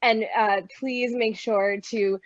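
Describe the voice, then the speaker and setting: A woman's voice: on the high side, fairly even intonation, slow pace, no room sound, lo-fi sound. A woman moderating a video panel interview, addressing guests in a conversational hosting style. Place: online streaming video conversation.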